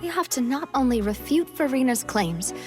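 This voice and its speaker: Thoughtful young woman for an anime game: high-pitched, analytical, light music behind. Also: animated, moderate pace.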